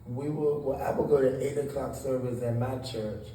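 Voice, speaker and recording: A man's voice: mid-range voice, fairly even intonation, moderate pace, dark tone, far from the mic. A man from Southeast Washington, D.C. answering interview questions earnestly, often restarting his words as he searches for them. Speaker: interview guest. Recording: echoey room, voice a bit off-mic, faint noise.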